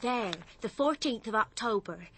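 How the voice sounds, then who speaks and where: An actress playing a nervous, gullible English office clerk with a flustered, colloquial manner in a radio drama. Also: female voice, a little high, animated, normal speed, background noise, close to an everyday voice. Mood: flustered, anxious.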